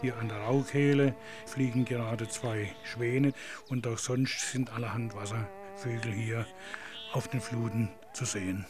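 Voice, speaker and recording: A man who guides listeners outdoors by the river, explaining calmly in a field recording. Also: medium pitch, measured pace, music in the background. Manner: calm, explanatory. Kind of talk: field reportage.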